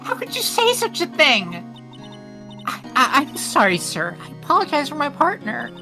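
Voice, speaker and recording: A woman's voice: high-pitched, huge pitch swings, moderate pace, over music, exaggerated voice. A woman voicing a perky, polite teenage girl assistant in a video game, eager and earnest. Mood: apologetic. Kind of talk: live fan voice-over of a video game.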